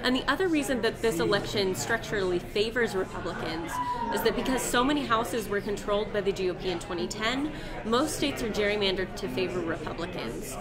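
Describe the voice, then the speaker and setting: A woman in her twenties co-hosting a live election night stream, explaining politics in a relaxed, chatty way. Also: medium pitch, a little fast. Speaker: co-host. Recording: noisy room with crowd chatter.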